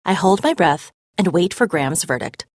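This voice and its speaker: Animated woman reading a children's story. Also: on the low side, normal speed, no echo, clean studio sound. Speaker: read-aloud narrator. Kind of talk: expressive children's story narration.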